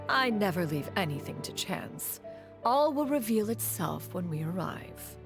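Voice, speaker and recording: An actress playing a proud, self-assured immortal inventor in a video game, speaking in a formal, archaic manner. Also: female voice, mid-range voice, sing-song, measured pace, faint music behind. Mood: reassuring, confident. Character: dignified, proud immortal female adeptus inventor. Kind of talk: video game character acting.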